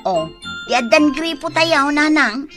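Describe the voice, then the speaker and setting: High-pitched Ilocano sister, bright, caring, gently scolding, radio comedy drama. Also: female voice, lively intonation, measured pace, faint music behind, full character voice. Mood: upbeat. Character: dutiful younger sister back from work abroad, firm and caring.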